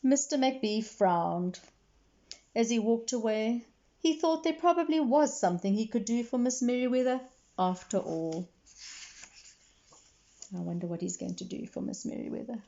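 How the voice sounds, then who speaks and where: A woman reading a children's story expressively, pausing to explain and put questions to young listeners. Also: fairly high pitch, animated, warm tone, dull audio. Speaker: read-aloud storyteller for children.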